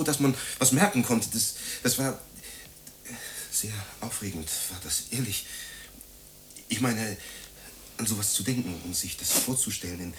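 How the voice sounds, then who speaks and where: An actor in a German radio drama playing a needy, self-pitying man who rambles haltingly and repeats himself. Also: lowish voice, slight background noise, natural voice.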